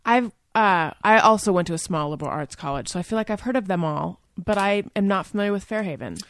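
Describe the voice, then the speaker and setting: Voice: mid-range voice, quick pace, fairly bright tone, no echo. Interviewer host asking short questions. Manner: brief encouraging backchannels, curious questions. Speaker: podcast host. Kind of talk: casual interview chat.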